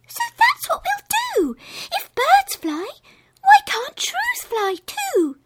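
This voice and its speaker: A woman voicing a tiny, eager, determined female shrew who squeaks and bustles about. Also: takes it slowly, a little hiss or hum, full character voice. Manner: squeaky, excitable.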